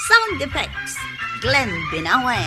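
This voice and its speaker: A woman's voice: high-pitched, wildly swooping pitch, slow. A woman with an Ilocano accent announcing a radio drama in a dramatic scripted style, with music behind her. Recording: loud music under the voice. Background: loud music.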